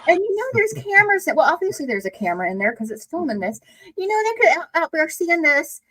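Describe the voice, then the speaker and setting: A woman co-hosting a casual reaction chat, talking freely with lots of "like" and quick laughs. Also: very high-pitched, sing-song, bright, forceful tone. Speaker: co-host reacting to a video.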